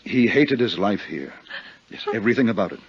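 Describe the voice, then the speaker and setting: A middle-aged American murderer in a 1950s radio drama, lovesick and bitter. The voice is deep and rough, halting yet earnest. The vintage recording sounds muffled. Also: male voice, lively intonation. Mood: bitter confession.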